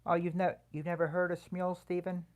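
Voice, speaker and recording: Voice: medium pitch, level tone. Soothing, hesitant livestream host. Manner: hesitant, halting, full of restarts. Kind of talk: casual chat answering viewer comments.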